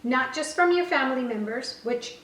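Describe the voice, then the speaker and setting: High-voiced woman, roomy and distant. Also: lively intonation, moderate pace, fairly bright tone. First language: Gujarati. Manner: conversational, frequent "you know" and self-corrections. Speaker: online language teacher and business owner. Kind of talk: casual advice talk to camera. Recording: roomy echo, a little far from the mic.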